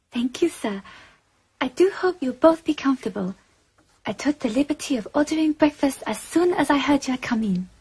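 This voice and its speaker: A woman's voice: high voice, animated, normal speed, pauses often, a little mellow. A woman playing a demure, deferential young attendant in a radio drama, with formal, careful phrasing. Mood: deferential, welcoming.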